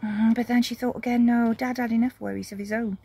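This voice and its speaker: A woman reading a children's story expressively, with dramatic pauses and emphasis. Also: a little high, fairly even intonation, creaky, dark tone, breathy edge.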